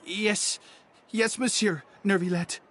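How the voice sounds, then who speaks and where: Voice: medium pitch, fairly even intonation, slow, a bit bright, a little hiss or hum. An actor voicing a timid, nervous underling in a video game, hesitant and stammering. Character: timid nervous subordinate caught out, confessing.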